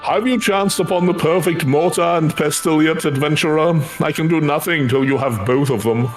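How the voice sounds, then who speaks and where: A man's voice: fairly high pitch, normal speed, close to an everyday voice. A man voicing a courtly, earnest fantasy craftsman, devout and grateful, speaking quest dialogue in formal, flowery phrasing. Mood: courteous. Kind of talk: voiced reading of fantasy game quest dialogue. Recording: music behind the voice.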